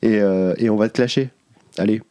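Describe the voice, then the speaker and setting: A man's voice: lowish voice, mellow, smooth, no room sound, clean studio sound. A man co-hosting a podcast who keeps chiming in to agree and add his own points. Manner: quick, agreeable interjections. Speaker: podcast co-host. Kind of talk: casual chat.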